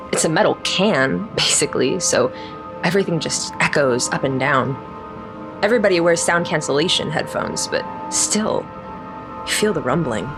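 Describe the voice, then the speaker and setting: An actress playing a casual, wry crew member of a space fleet, talking reflectively in a sci-fi audio drama. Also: female voice, low-pitched.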